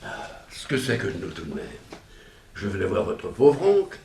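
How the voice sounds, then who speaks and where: An actor playing a grumbling, garrulous country neighbour with a gravelly voice, heard at a distance in an echoing radio drama. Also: male voice, deep voice, huge pitch swings, normal speed, darkish tone, a little hiss or hum, exaggerated voice. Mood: grumbling, rueful. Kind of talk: 1960s French radio drama acting.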